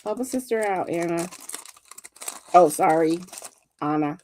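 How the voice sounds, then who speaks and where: A woman's voice: lowish voice, measured pace. A woman co-hosting a scrapbooking livestream in casual, joking chat, sometimes trailing off into murmurs as she works. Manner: lively, joking, trails off into murmurs while working. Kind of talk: casual chat while crafting.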